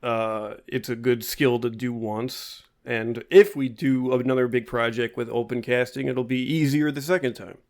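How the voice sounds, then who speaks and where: A man co-hosting a podcast, adding brief, dry remarks in a measured way during a casual chat. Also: mid-range voice, steady tone. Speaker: podcast co-host.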